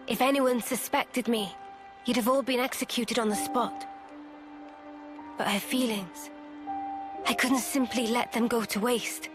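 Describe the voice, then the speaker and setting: An actress playing a gentle, resolute young woman in a dramatic fantasy game cutscene, speaking with measured, solemn tenderness. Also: fairly high pitch, steady tone, over music, lightly acted. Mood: calm confession.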